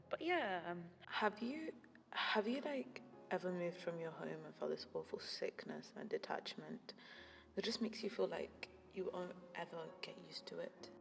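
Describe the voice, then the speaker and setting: Low-pitched teenage girl's voice in long reverb. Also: vocal fry. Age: teens. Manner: confessional, reflective, addressing a friend. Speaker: student narrating an audio journal. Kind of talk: scripted spoken-word monologue as a voicemail, partly rhymed. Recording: long reverb with music behind. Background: music.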